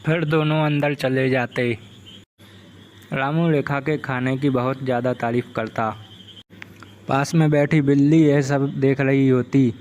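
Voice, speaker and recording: A man's voice: mid-range voice, fairly even intonation, measured pace, a little mellow, slight background noise. A man reading a scripted folk tale as the narrator. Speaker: story narrator.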